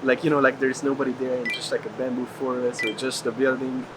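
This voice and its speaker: Casting: male traveler from Hungary, casual rambling interviewee, mid pitch, clear ambient noise. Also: flat delivery, quick pace. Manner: frequent "like" filler, rambling. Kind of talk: casual chat.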